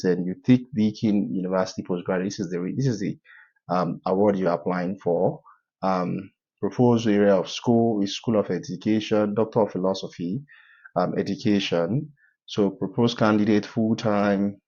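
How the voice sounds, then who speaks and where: Deep-voiced man giving halting advice. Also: warm tone, highs cut off. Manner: advisory, halting, many "um" fillers. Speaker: scholarship advice YouTuber. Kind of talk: screen-share walkthrough tutorial.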